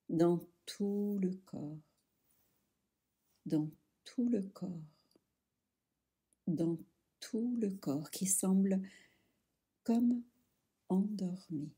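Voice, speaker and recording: A woman guides a meditation in a soft, breathy voice that sits fairly low. She takes her time, leaving long pauses between short, soothing instructions, as a yoga nidra teacher does.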